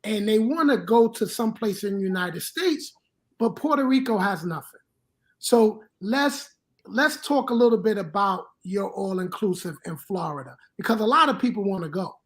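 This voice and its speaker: A man's voice: high-pitched, lively intonation, normal speed, a bit bright. Man hosting a travel podcast. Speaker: podcast host. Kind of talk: podcast interview.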